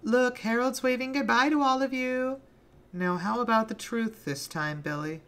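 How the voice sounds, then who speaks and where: A man's voice: extremely high pitch, moderate pace, sharp tone, smooth, pitched above the performer's own voice, heavily acted. A man voicing a cruel, deranged female monster in a horror story, with mocking, sing-song politeness. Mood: gleeful, threatening.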